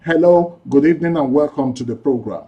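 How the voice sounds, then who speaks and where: A man's voice: on the high side, fairly quick, warm tone, highs cut off. A Nigerian man hosting a live radio phone-in, politely prompting and thanking callers.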